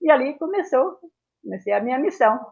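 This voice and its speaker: An elderly woman tells her memories as an interview guest. Her voice sits fairly high, and she rambles from detail to detail, often tagging phrases with a small question. The sound is somewhat dull and lo-fi. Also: sing-song, fast talker, gravelly, a little mellow. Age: 70s. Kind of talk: reminiscing in a casual interview.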